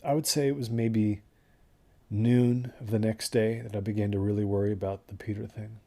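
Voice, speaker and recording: A man plays a troubled hiker telling his story in an audio drama. His male voice is on the low side and soft-edged, with a conversational, reflective delivery that carries quiet unease. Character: uneasy male desert hiker recounting a bad trip. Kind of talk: first-person audio drama monologue.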